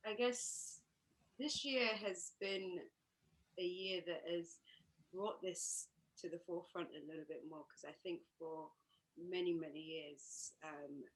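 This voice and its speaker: A woman from Uganda, a yoga teacher who answers thoughtfully with many pauses and restarts. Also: lowish voice, rough recording. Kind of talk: interview answers.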